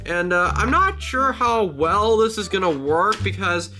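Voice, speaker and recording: A man's voice: high voice, big pitch swings, a little slow, sharp tone, faint music behind. A man talking casually and hesitantly in a rambling, informal vlog while demonstrating something. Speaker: video creator.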